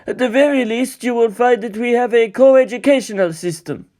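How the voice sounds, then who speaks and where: Man playing a high-voiced old monk teacher. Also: moderate pace, a little mellow. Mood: serene, explanatory. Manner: formal, explanatory. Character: serene old male monk teacher, learned and optimistic.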